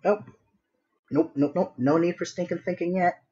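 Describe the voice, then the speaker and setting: A man's voice: high voice, fairly even intonation, normal speed, mellow, lo-fi sound. A man thinking aloud casually as he sketches on a live stream, muttering to himself with little sing-song filler noises. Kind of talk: casual thinking-aloud commentary while drawing.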